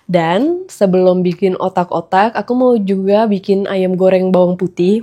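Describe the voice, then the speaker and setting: A woman's voice: lowish voice, mellow. Nasal-voiced woman with a cold. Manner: chatty, addresses viewers often. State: recovering from flu. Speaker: vlogger and mother. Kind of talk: casual cooking vlog.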